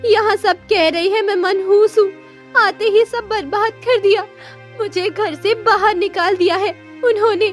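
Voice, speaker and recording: A woman's voice: very high voice, steady tone, unhurried, soft background music. A woman playing a distressed, newly married young daughter in a moral-story cartoon, plaintive and pleading.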